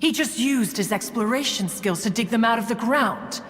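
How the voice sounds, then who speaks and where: A woman's voice: fairly high pitch, expressive, normal speed, natural voice. An actress voicing a proud, haughty female crane spirit and inventor in a video game, talking in a stately, pompous way. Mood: reminiscing. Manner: pompous, stately, refers to herself as one. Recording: long reverb with game music behind.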